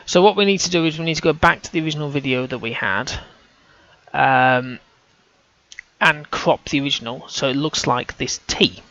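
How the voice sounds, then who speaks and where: A man's voice: a little high, normal speed, bright, forceful tone, no room sound, muffled recording. A man talking through on-screen images, casual and hesitant, often restarting his sentences as he thinks aloud. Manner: hesitant, self-correcting, casual. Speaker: amateur researcher.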